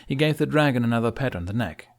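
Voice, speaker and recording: A man's voice: mid-range voice, animated, fast talker. A man narrating an audiobook as dramatic, scripted storytelling.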